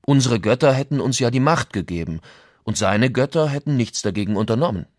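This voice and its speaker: A man's voice: mid-range voice, expressive, fairly quick, no echo, clean studio sound, lightly acted. A man playing a Spanish conquistador who recounts events in a formal memoir for an audio documentary. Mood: measured, formal. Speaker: reader of historical quotations. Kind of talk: dramatic reading of old chronicles.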